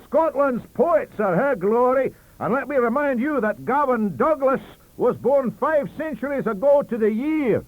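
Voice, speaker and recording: A man's voice: very high voice, lively intonation, a little slow, hardly any pauses, dry recording, narrow, tinny audio, pitched above the performer's own voice. A man voicing an indignant, combative Scottish academic, bellowing and declaiming in an audiobook story. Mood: mocking, combative. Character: shouting, indignant Scottish male professors and librarian, combative, scornful.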